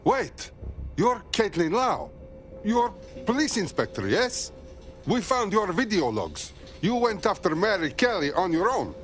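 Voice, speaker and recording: A man's voice: sits high, big pitch swings, a little slow, soft background music. A man playing a brash, foul-mouthed resistance operative in a video game, talking in blunt, clipped sentences. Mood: brash, impressed. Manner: blunt, clipped phrasing with dropped words. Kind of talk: video game cutscene acting.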